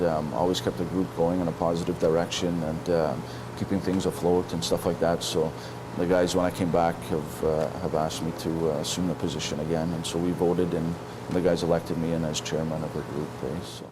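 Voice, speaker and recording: A man with a very low voice speaks in a documentary interview. He talks haltingly, filling pauses with "um" and restarting sentences. There is clear background noise around him. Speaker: inmate, chairman of a lifers group.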